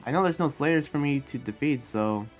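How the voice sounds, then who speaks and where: A man's voice: on the high side, natural voice. A man playing an earnest town-guarding demon slayer in an improvised anime game roleplay, talking in a hesitant, rambling way. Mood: earnest, musing. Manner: rambling, hesitant, halting explanations. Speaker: roleplay player. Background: background music.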